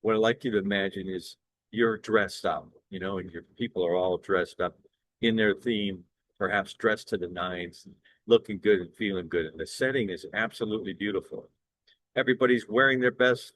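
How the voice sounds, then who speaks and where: An older man from Cleveland with a bass baritone voice, pitching his work as an event singer in an upbeat, earnest way. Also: a bit bright, no room sound, rough recording. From Cleveland, Ohio, USA. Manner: upbeat, earnest salesman talk with small restarts. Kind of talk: promotional direct-to-camera pitch.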